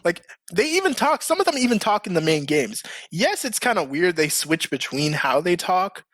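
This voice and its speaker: A man hosting a casual podcast discussion, talking in an animated, emphatic way. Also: sits high, normal speed, no echo, studio quality. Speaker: podcast host.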